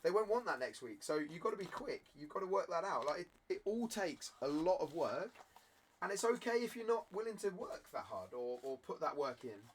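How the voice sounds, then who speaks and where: A man who streams and sells cards, talking earnestly to camera in a rambling way, his voice croaky from a cough. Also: high-pitched, sing-song, a little fast, a little hiss or hum. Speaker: card-selling live streamer. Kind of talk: candid motivational talk to camera.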